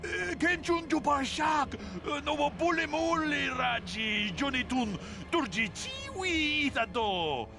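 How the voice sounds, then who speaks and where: Argumentative alien game thug, extremely high, slow, invented syllables, music underneath. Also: male voice, big pitch swings, heavily acted. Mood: defiant. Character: alien gang thug babbling in guttural made-up tongue.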